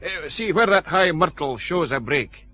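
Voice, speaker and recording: Scottish countryman, bright forceful voice, highish pitch, cautious, practical, radio detective drama. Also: lively intonation, unhurried. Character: cautious, practical countryman guiding police along a path.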